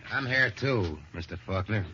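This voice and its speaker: An actor playing a blunt, wisecracking, slangy police detective sidekick in an old-time radio drama. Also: male voice, lowish voice, moderate pace, close to an everyday voice. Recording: muffled, noisy old radio recording.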